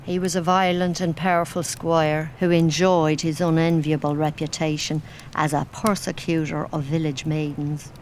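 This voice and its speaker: A woman's voice: sits low, level tone, normal speed, few pauses, lightly acted. Woman acting a grim servant, noisy ambience. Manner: grave, ominous, dramatic storytelling. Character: grim female manor servant telling dark local legends. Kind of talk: gothic audio drama acting.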